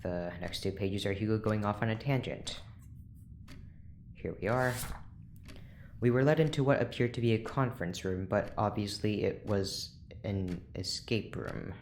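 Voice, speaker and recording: An actor playing a weary, irritable scientist in charge, curt and sarcastic, in an audio drama. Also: male voice, on the low side, measured pace, slight background noise. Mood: weary, exasperated. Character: weary, irritable scientist boss running secret experiments.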